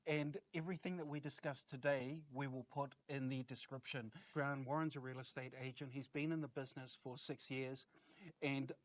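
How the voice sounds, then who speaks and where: A man's voice: medium pitch, steady tone, normal speed. A man hosting a podcast, chatting casually as he interviews his guest. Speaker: podcast host.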